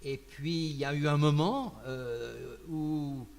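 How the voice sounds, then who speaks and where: A man hosting a testimony interview, introducing his guest and asking questions in a halting way, repeating words as he goes. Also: on the high side, gravelly, a little mellow, in a large hall. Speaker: interviewer, host.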